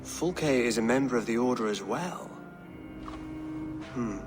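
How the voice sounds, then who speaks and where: An actor playing a shrewd, pious Saxon king who speaks formally and with measured eloquence in a video game. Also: medium pitch, animated, music in the background, natural voice. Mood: measured, guarded. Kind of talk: dramatic video game dialogue.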